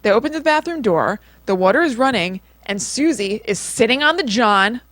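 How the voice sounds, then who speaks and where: A woman's voice: a little high, animated, normal speed, fairly bright tone, dry recording. A woman, co-hosting in a casual, chatty storytelling style full of informal asides. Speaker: podcast co-host.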